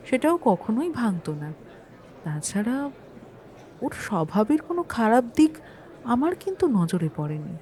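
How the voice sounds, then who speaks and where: A woman's voice: mid-range voice, darkish tone, natural voice. An actress playing a landlady testifying in a courtroom audio drama, chatty, hesitant and a little defensive about her propriety. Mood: earnest, hesitant. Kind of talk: Bengali audio-story courtroom acting.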